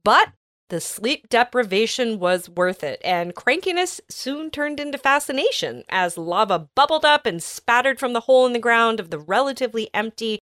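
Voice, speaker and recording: A woman's voice: fairly high pitch, expressive, normal speed, a bit bright, no room sound, studio quality. A woman co-hosting a podcast, reading science news aloud and explaining it with everyday examples. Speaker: podcast co-host.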